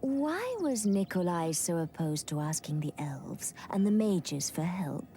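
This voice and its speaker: A cautious lady advisor speaks softly and deliberately. Her low, mellow voice moves slowly through lively pitch. Faint game music plays behind. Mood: worried. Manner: soft, deliberate, careful. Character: calm, cautious female advisor and diplomat. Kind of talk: video game cutscene voice acting.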